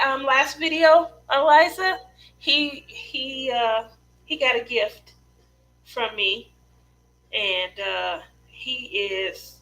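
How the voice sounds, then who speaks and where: Middle aged lady just chatting to her livestream, bright voice, rambly stories, faint noise and hum behind her. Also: medium pitch, poor audio. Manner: chatty, rambling personal storytelling. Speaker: craft livestream host. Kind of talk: casual chat with live viewers.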